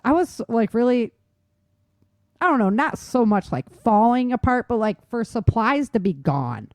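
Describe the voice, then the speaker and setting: A woman's voice: a little high, very animated, unhurried, dry recording, clean studio sound. A woman hosting a talk show in casual chat, telling things informally with slangy emphasis and repetition. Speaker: talk show host, author. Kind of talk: casual chat on survival tips.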